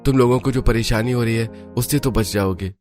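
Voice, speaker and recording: A man's voice: medium pitch, fairly quick, darkish tone, soft background music, lightly acted. A man playing an earnest, hard-working son and husband in a cartoon family drama. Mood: caring, reassuring.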